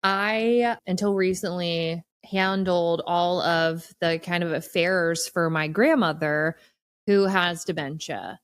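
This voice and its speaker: A woman chatting casually as a podcast co-host, telling things in an animated way with wry exaggeration. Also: fairly low pitch, takes it slowly, clean tone, dry recording.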